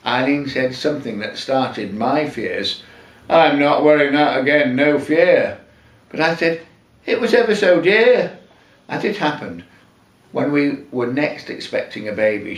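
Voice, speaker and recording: A man in his sixties from England reciting his own rhyming comic poem in a measured, theatrical storytelling way, leaning on the rhymes. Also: medium pitch. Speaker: amateur poet reading own work. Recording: roomy echo, distant microphone.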